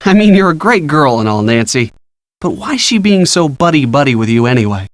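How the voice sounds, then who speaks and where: Easygoing young boyfriend, playful, teasing, casual, lively intonation, video game dialogue, dry recording. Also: male voice, a little high, brisk, no room sound. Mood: wary, teasing.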